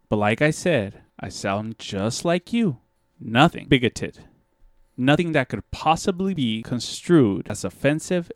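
A smug martial arts master, played by a man, slow-paced with lively swings in pitch. He is deadpan, courteous and casually domineering, in a dry-sounding comedy audio drama. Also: no room sound. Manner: deliberate, deadpan.

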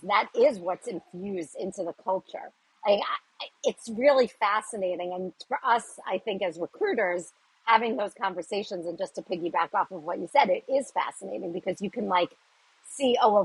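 A woman co-hosting a podcast, a recruiter, talking in a lively, upbeat way. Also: mid-range voice, husky, no echo, low-quality recording. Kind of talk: casual podcast chat.

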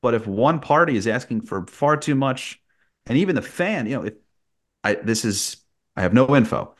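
A man's voice: medium pitch, lively intonation, normal speed. A man who works as a sports broadcaster, giving conversational sports analysis as a podcast guest.

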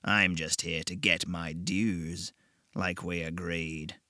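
A man voicing a ragged old devil who speaks slowly and mockingly. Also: low-pitched, level tone, sharp tone, dry recording, clean studio sound, pitched below the performer's own voice, exaggerated voice. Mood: sly menacing. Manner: slow, purring, mocking.

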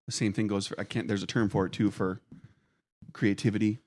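Male co-host, fairly low voice, loose and joking, dry close studio mic. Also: fairly even intonation, a little fast, darkish tone, no echo. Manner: joking, laughs often. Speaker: podcast co-host. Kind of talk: casual chat. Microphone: studio microphone.

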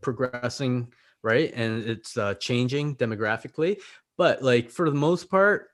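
A man's voice: mid-range voice, measured pace. A man in his forties, whose was Korean, speaks as a podcast guest. His voice sits in an ordinary middle register, and he talks in a reflective, earnest way, often tagging his points with a questioning "right?". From Canada. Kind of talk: casual conversation.

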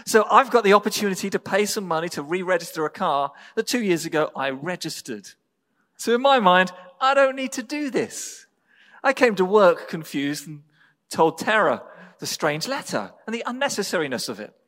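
A man with an English accent preaching a sermon as a pastor, speaking in measured, deliberate phrases with pauses. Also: high voice, very animated, a bit bright. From England. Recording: reverberant hall.

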